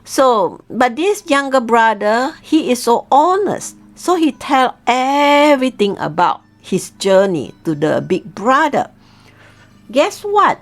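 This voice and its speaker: A woman's voice: on the high side, big pitch swings, slow pace. A woman telling a story as a teacher, in simple, repetitive non-native English, often checking in with short questions. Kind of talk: animated storytelling lesson for learners.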